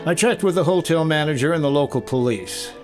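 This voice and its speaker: An actor playing a wry, exasperated insurance investigator with a dry, skeptical manner in a 1950s-style radio drama. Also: male voice, on the high side, a little fast, darkish tone, soft background music, natural voice. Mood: narrating. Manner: dry, skeptical, hard-boiled.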